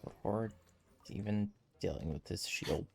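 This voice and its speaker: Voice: sits low, a little slow, gravelly. A game streamer giving casual gameplay commentary, musing aloud to themself while playing. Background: game music.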